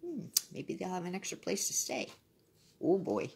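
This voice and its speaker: A woman's voice: lowish voice, huge pitch swings, normal speed, gravelly, a little mellow, breathy edge. A woman reading a children's story expressively, adding brief casual asides.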